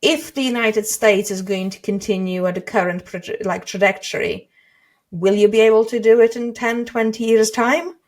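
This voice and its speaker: A woman's voice: fairly high pitch, steady tone, normal speed. A woman from Poland, hosting an interview and talking in a thoughtful, hesitant way that restarts mid-sentence. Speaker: podcast host. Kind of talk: casual interview chat.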